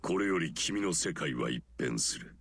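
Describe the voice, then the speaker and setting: Menacing, all-knowing priest for a visual novel: low, creaky voice, expressive range, oracular and solemn. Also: male voice, a little fast, exaggerated voice. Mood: ominous warning. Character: ominous, knowing priest, grown man, gravely taunting. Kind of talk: visual novel game voice acting. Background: game music.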